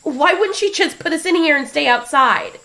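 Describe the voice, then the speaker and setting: A woman's voice: high voice, lively intonation, moderate pace, gravelly, pitched above the performer's own voice, full character voice. A woman voicing a bold, protective female moth monster in an audio drama. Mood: scolding. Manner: sharp, scolding.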